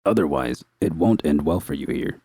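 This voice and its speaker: A man voicing a bloated, menacing gang boss who speaks slowly and smugly. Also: rumbling low, animated, warm tone, husky, dry recording, studio quality, pitched below the performer's own voice, heavily acted. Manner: slow, smug, threatening.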